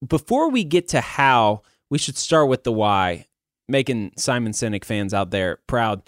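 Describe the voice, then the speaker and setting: A man's voice: medium pitch, sing-song, a little slow, no room sound. Casual male podcast host, dry close sound. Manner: informal, buddy-like address to male listeners. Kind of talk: casual conversational podcast talk.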